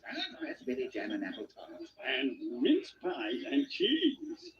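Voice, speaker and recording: An actor playing boisterous, demanding dwarf guests in a theatrical, hearty radio-play manner. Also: male voice, medium pitch, wildly swooping pitch, measured pace, lo-fi sound, crowd noise.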